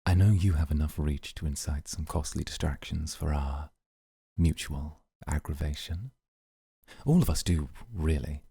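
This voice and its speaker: A man playing a stern, serious mafia boss in an ASMR roleplay, gravelly, measured and formal, with dry sardonic understatement. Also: very deep voice, dark tone, no echo, full character voice. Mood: calculating.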